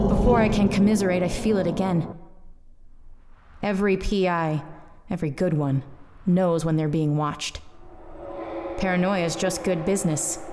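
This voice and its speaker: An actress playing a hard-drinking, world-weary private eye in a noir audio drama, terse and wry. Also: female voice, on the low side, natural voice.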